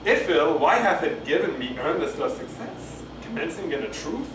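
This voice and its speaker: A man doing a halting, rambling, unrehearsed read-aloud of Shakespeare, stumbling and going back over lines. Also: a little high, very animated. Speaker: amateur reader of a play. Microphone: camcorder microphone at a distance. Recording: roomy, distant camcorder mic with clear noise.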